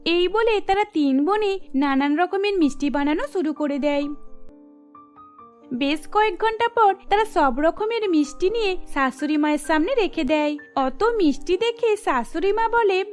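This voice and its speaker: A woman's voice: very high-pitched, lively intonation, normal speed, soft background music. A woman reading scripted narration for a cartoon story as its storyteller.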